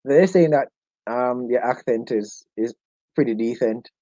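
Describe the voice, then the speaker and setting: A man's voice: mid-range voice, a little slow, warm tone, no echo. A man with a Jamaican accent hosting a casual live discussion, joking and laughing often with the viewers. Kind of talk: casual live-stream chat. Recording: dull lo-fi sound.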